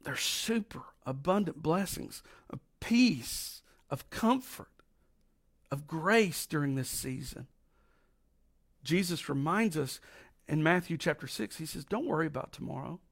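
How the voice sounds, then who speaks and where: Raspy-voiced pastor preaching. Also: male voice, fairly high pitch, expressive. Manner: folksy, emphatic preaching with slow drawn-out stresses. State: raspy voice from allergies. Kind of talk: sermon.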